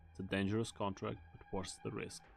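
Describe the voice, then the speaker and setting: A man playing a grave, calculating mercenary team leader with a measured, serious delivery in an amateur game dub. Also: on the low side, little pitch movement, gravelly, faint music behind. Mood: grave, resolute.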